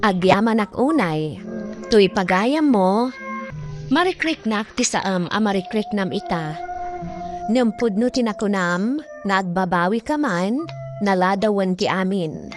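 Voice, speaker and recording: Radio drama host: woman, Ilocano accent, mid-pitched scripted narration over faint music. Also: animated, unhurried, pauses often.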